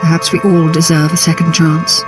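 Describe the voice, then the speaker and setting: A man's voice: a little high, completely flat delivery, moderate pace, lightly acted, low-quality recording. A man playing a businesslike Imperial agent in a video game, formal and deferential to superiors. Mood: respectful. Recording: very loud music behind voice.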